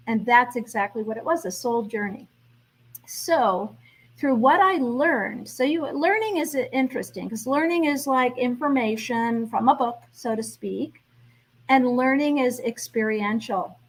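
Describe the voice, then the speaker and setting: A woman's voice: a little high, breathy edge, low-quality recording. A middle-aged woman being interviewed as a guest, speaking reflectively and laughing lightly between thoughts. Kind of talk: interview conversation.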